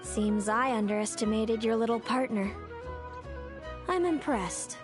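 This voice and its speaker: A woman plays a cool, self-possessed rival trainer in a game, her voice a little high, calm and measured. She stays aloof but gracious. Music runs clearly beneath her. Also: lightly acted. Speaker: defeated rival trainer, runner-up. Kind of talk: video game voice acting. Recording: game audio with music underneath.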